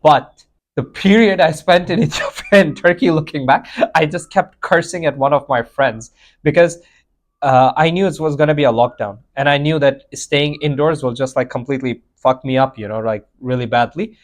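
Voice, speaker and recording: Man, young, podcast host, fairly high voice, rambling storyteller, lots of fillers. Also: moderate pace. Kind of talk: casual chat.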